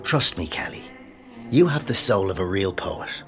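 A man's voice: sits low, expressive, moderate pace, music in the background. A man playing a charismatic, pushy poetry teacher in a radio drama, coaxing and theatrical. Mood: coaxing, reassuring. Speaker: actor. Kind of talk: naturalistic radio drama acting.

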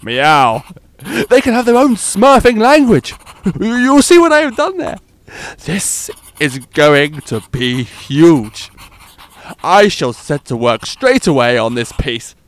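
A man voicing a pompous, dim male playwright reading his letters aloud. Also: on the high side. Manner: theatrical letter reading, drawn out.

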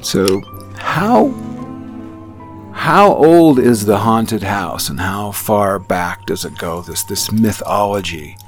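A man hosting a radio show, telling reflective stories in a halting way that often restarts phrases. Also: fairly low pitch, animated. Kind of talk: reflective storytelling monologue. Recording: music bed under speech. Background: music under the voice.

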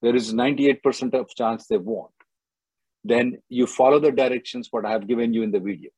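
Male call-in host, husky and dry, blunt short answers. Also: medium pitch, steady tone, a little fast, darkish tone, no room sound, low-quality recording. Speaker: immigration advice show host. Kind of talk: live call-in advice.

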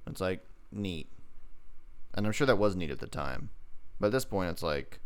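A man's voice: low voice, animated, moderate pace, clear, resonant tone. A man co-hosting a podcast in casual banter, with a playful, teasing manner that slips into mock quotes and sing-song. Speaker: podcast co-host.

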